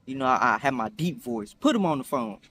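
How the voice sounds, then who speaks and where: A young man chatting casually in a storytime vlog, rambling with many fillers and restarts, acting out quotes in higher voices. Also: animated, brisk, fairly bright tone. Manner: acts out quotes with high voices. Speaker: vlogger.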